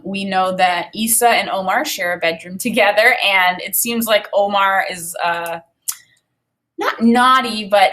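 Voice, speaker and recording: A woman in her thirties reading a children's book aloud as a teacher, expressive and deliberate, pausing to think aloud. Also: mid-range voice. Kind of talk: read-aloud lesson with think-aloud commentary. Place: small indoor room with desk and bookshelf.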